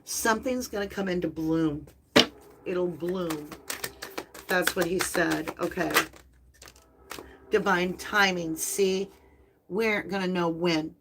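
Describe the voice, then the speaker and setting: A woman tarot reader speaks slowly and gently on a live stream, her voice sitting a little low. She sounds slightly hoarse and tired as she drifts between the cards and her viewers, calling them her lovelies. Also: heavy background noise. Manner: soft, slow, spiritual and affectionate, addressing viewers as lovelies. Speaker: tarot reader and channel host.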